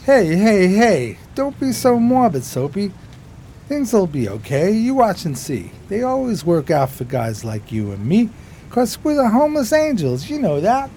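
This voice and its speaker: Man acting a lively, streetwise 1920s hobo sidekick. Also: high voice, unhurried, gravelly, slight background noise, heavily acted. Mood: reassuring. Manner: slangy, folksy, ungrammatical street talk. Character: streetwise, simple, loyal homeless male sidekick in 1920s New York. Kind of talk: radio theater acting.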